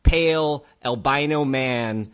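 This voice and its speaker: A man co-hosting a podcast in casual chat who grew up in Japan, playful and animated, often laughing and slipping into silly voices. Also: mid-range voice, takes it slowly, clear, resonant tone. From Japan (grew up on US bases there). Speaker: podcast co-host.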